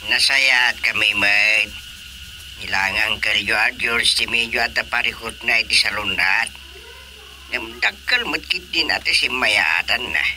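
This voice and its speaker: A man's voice: on the low side, unhurried, pushed and bright, a little hiss or hum. An actor playing a plain-spoken elderly father with an Ilocano Filipino accent in a radio drama. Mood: confiding.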